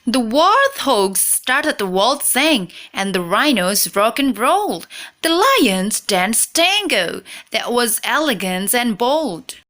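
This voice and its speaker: Female storytime reader: high-ish voice, very lively intonation, slow delivery for young children. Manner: expressive, measured, addressing young children. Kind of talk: children's picture book read-aloud.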